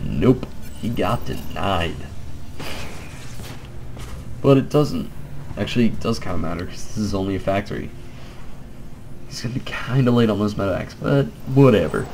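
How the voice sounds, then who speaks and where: A young man with a stuffed-up nose, giving mellow, quiet commentary on a strategy game with joking asides. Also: fairly low pitch, animated, moderate pace, creaky, long pauses, slight background noise, rough recording. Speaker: game caster. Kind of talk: laid-back game commentary.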